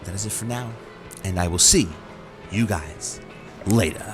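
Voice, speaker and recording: A man hosting a gaming channel, talking casually and off the cuff in a rambling, halting way with repeated words. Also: low-pitched, music in the background. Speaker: YouTube gaming channel host. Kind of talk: casual, unscripted chat to viewers.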